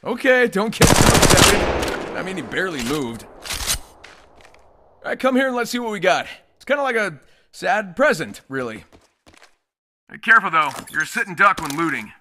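A man's voice: sits high, sing-song, moderate pace, long pauses, noisy background. An actor voicing a cocky, joking young male combat trainer in a video game tutorial, wisecracking and breezy with theatrical delivery. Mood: teasing. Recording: music behind the voice.

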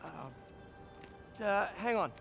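Boyish, animated young American superhero. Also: male voice, high voice, drawn-out words, creaky, heavily acted. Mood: anxious, pleading. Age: young adult. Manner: quippy, eager. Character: young wisecracking superhero, earnest, harried, boyish. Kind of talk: video game cutscene acting. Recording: loud music behind the voice.

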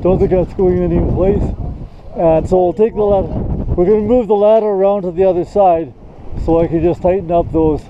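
A man's voice: high-pitched, fairly even intonation, brisk. A man giving casual, practical work instructions to helpers, thinking aloud in short phrases outdoors. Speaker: work crew leader. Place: outdoors on a snowy windy mountain. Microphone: body-worn action camera. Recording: muffled action camera, loud wind noise.

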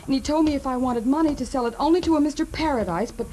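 A woman's voice: high voice, fast talker, mellow, lightly acted. An actress playing an earnest, sweet young American woman in trouble, slightly hesitant, in 1940s radio drama style. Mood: earnest, confiding. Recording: faintly noisy vintage 1940s radio recording.

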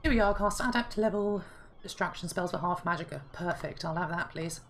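A woman hosting a casual let's-play, chatting and thinking aloud, her voice slightly hoarse. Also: lowish voice, brisk, slight background noise. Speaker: gaming channel host. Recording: clear game music behind.

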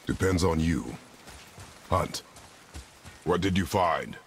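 A man playing a stern, battle-hardened warrior father in a video game, gruff and terse, with a very deep, gravelly voice. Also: expressive, takes it slowly, a little hiss or hum, heavily acted. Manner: terse, commanding, clipped sentences. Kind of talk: cinematic video game acting.

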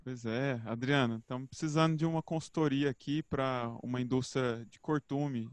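A man's voice: medium pitch, fairly even intonation, moderate pace, clear, resonant tone, dry recording. A Brazilian man moderating a web seminar, informal and joking with the speakers. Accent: Brazilian Portuguese. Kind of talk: casual hosting on a web seminar. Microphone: video call.

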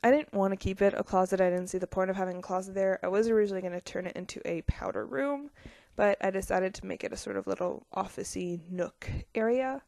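A young woman talks loosely over her game build footage, with a pitch that sits in an everyday middle range. She rambles and hesitates, dropping frequent ums and little laughs. Her voice is close to the mic and dry, with no room around it. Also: fast talker, gravelly, mellow, no room sound. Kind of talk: casual voice-over commentary on a speed build.

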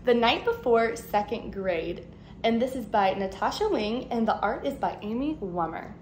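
A woman in her thirties, a teacher, reading a picture book aloud to young children in an expressive, animated way. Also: fairly high pitch, normal speed, slight background noise. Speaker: second grade teacher. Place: living room at home, indoors.

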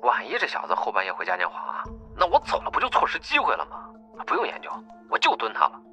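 A man's voice: on the low side, huge pitch swings, quick pace, bright, forceful tone, faint music behind, exaggerated voice. A man playing a stubborn, determined young gang member in an audiobook, heard through a telephone filter. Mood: insistent. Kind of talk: audiobook character acting. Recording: dull phone-filtered sound.

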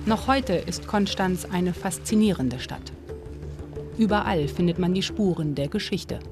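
A woman's voice: on the low side, expressive. Woman narrating a documentary over music. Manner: measured, clear narration. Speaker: documentary presenter. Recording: music bed under voice.

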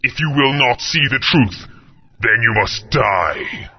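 An actor playing a menacing male villain sorcerer who speaks gravely and threateningly. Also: medium pitch, animated, slow pace, full character voice. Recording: lo-fi game audio.

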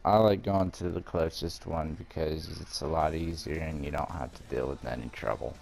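A man's voice: a bass voice, fairly even intonation, normal speed, gravelly, a little mellow. A man giving a casual video game walkthrough, explaining things step by step in an informal way. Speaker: gamer presenting a walkthrough.

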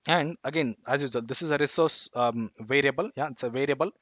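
A man's voice: mid-range voice, a little fast, fairly bright tone, no room sound. A man explaining code on a screen share, stopping and restarting often, with lots of fillers and "okay, yeah" tags. His voice sits in the middle range and sounds close and dry, with no room around it. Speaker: tech tutorial presenter.

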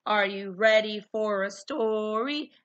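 Female kids' story time host, very slow delivery, guitar music behind, slightly muffled recording. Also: medium pitch, fairly bright tone. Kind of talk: children's story time introduction. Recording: dull sound with guitar music.

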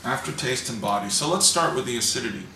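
A man in his forties, dry and teasing, chatting with a friend on a couch. His voice is bright and a little sharp, and it sits back from the mic in a roomy, echoey living room. A faint hiss runs under it. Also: mid-range voice, expressive, fast talker, recorded from a distance. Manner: dry, wry and teasing, reads ads aloud. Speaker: co-host. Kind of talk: casual chat. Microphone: camera a few feet away.

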